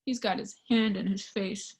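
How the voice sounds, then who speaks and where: A woman reading a picture book aloud to young children in an expressive, child-directed storytime style. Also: mid-range voice, moderate pace. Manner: expressive, child-directed, with questions and sound effects.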